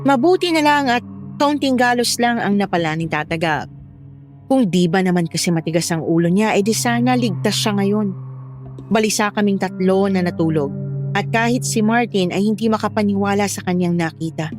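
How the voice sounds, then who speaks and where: A woman's voice: mid-range voice, normal speed, a little mellow, faint music behind. A woman reading a scripted first-person horror story as its narrator.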